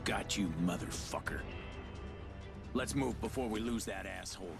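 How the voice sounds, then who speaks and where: A man playing a grim, short-tempered veteran lawman in a video game, gruff and terse. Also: on the low side, moderate pace. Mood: grimly focused. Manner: gruff, terse, hard-boiled. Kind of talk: dramatic video game dialogue. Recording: loud music over the voice.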